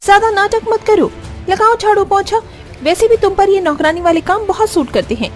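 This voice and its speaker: A woman's voice: very high voice, normal speed, soft background music. A woman voicing a vain, snappish young woman in a cartoon story, with a scornful, showy manner. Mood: scornful, irritated.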